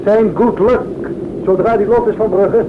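A man's voice: sits high, steady tone, normal speed, natural voice. A man playing an airplane captain in a radio play, giving orders in a clipped, authoritative way. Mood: brisk, commanding. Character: commanding airplane captain at the controls. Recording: very noisy, dull old radio recording. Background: loud engine drone.

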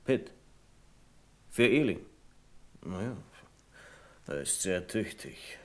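An actor playing a deferential but stubborn bank deputy in a 1970s German radio play, polite and restrained. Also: male voice, low voice, expressive, takes it slowly. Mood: hesitant, critical.

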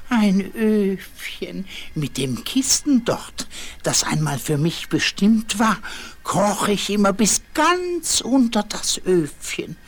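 An actor playing a grumpy, boastful old chained dog who reminisces in a children's fairy-tale radio play, with a husky, breathy voice. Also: male voice, high-pitched, big pitch swings, full character voice. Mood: wistful, boastful. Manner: slow, drawn-out, grumbling reminiscence.

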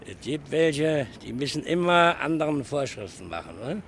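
Casting: scornful bystander, creaky voice pitched a bit high, radio drama with faint noise. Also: male voice, big pitch swings, normal speed, fairly bright tone, full character voice. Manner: sneering, dismissive. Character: gruff, scoffing, indifferent male bystander. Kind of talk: German radio play acting. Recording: faintly noisy radio play recording.

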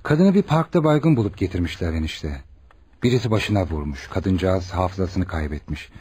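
An actor playing an earnest, caring young male doctor in a Turkish radio play, with an expressive, questioning manner. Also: low voice, moderate pace, slight background noise. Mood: concerned. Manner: expressive, probing, reassuring. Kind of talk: Turkish radio theatre acting.

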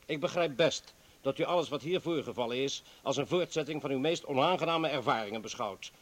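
An actor in a radio play playing an earnest party comrade who pleads insistently and tries to placate. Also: male voice, mid-range voice, brisk, lightly acted. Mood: earnest, placating. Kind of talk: dramatic radio play acting.

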